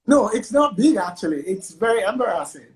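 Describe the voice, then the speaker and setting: A young man with a thick Nigerian accent, a lawyer talking as an interview guest on a video call, animated and full of fillers. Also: high-pitched, a little fast. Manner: animated, chatty, many fillers like "like" and "you know". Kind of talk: casual interview conversation.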